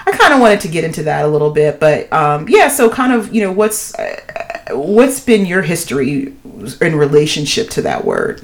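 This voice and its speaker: Deep-voiced woman chatting emphatically. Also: moderate pace. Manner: emphatic, animated, many "you know" fillers. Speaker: podcast co-host. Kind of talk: casual chat.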